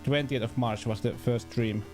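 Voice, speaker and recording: A man with a Finnish accent, a native Finnish speaker, giving casual livestream commentary as he plays, haltingly and searching for words. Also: mid-range voice, fairly even intonation. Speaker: video game livestreamer. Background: game music.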